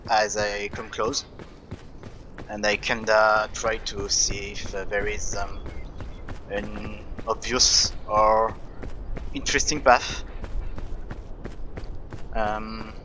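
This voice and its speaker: A young man with a French accent, casually commenting on his own gameplay and thinking aloud, with frequent pauses and fillers. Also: fairly low pitch, pushed and bright, a little hiss or hum, poor audio. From France.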